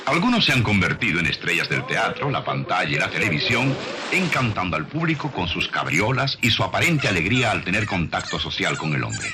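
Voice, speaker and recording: Male documentary narrator, lowish register, animated melodic phrasing, explanatory scripted read, noisy recording. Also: fairly quick. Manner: didactic, rhetorical questions to the listener.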